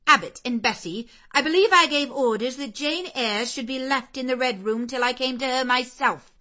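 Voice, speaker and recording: A woman's voice: sits high, moderate pace, bright, forceful tone, pitched above the performer's own voice. A woman reading a storybook, voicing a cold, commanding mistress of the house who issues orders peremptorily. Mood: cold, stern.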